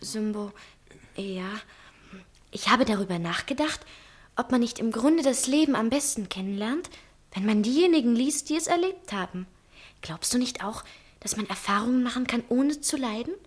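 Vain, dreamy young daughter for a 1960s radio play, female performer: high voice, literary phrasing, cold-hearted longing for luxury. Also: expressive, normal speed, close to an everyday voice. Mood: dreamily musing. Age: young adult.

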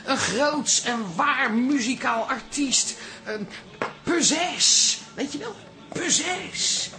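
An actress playing a gossipy, culture-loving aunt and pub regular, exclaiming and dramatic in a comic radio play. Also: female voice, sits high, extremely slow, background noise. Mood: indignant.

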